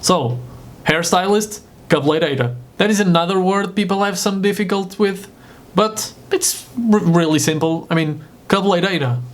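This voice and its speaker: A man's voice: high-pitched, moderate pace. A man from Portugal with a Portuguese accent, a native Portuguese speaker, giving an informal vocabulary lesson in a casual, jokey manner. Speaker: host teaching vocabulary. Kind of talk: informal video language lesson.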